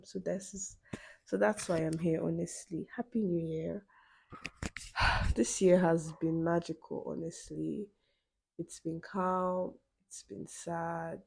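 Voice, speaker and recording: A young woman, hosting her own podcast in a relaxed solo chat, full of "you know" fillers and quick laughs. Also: lowish voice, creaky, husky. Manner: chatty, many "you know" fillers, laughs between phrases. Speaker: podcast host.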